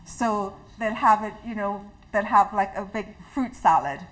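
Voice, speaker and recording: A woman's voice: mid-range voice, a little slow, rough recording. A woman from Trinidad, leading an interactive health talk to an audience in a chatty, personal way. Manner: warm, chatty, personal, restarts often. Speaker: health educator. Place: community hall with a live audience.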